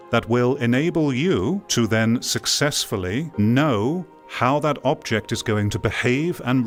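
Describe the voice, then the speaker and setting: A man giving opinion commentary on VR gaming in an emphatic, deliberate way, repeating phrases for weight. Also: lowish voice. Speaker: VR gaming video creator. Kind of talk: opinion commentary over gameplay. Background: music.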